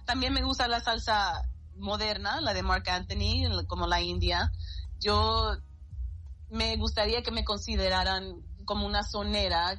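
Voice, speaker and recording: A woman's voice: medium pitch, fairly bright tone, low-quality recording. Woman singer chatting in an interview, lots of "so" and "you know", faint music behind. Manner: chatty, fillers, mixes English words into Spanish. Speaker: salsa singer and bandleader. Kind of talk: casual interview answers.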